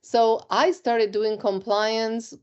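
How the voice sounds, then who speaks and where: A middle-aged woman from Argentina, interviewed about her career, speaks in a measured, reflective way with frequent ums. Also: a little high, fairly even intonation, clear, resonant tone, lo-fi sound. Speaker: compliance executive, interview guest.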